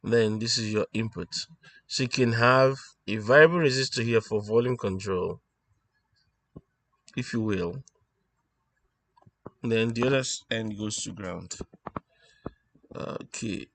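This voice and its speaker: A man giving an informal technical lesson as he draws, explaining step by step in a halting way and thinking aloud. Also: fairly low pitch, vocal fry, fairly bright tone, no room sound. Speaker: instructor presenting an electronics tutorial. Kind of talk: informal technical lesson, talking while drawing.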